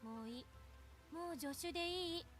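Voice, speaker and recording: A proud, blunt young woman in an anime-style game. Her voice is very high and quick, swinging with irritation, over faint music. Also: fairly bright tone. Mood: cool. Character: sharp-tongued, proud eighteen-year-old young woman genius.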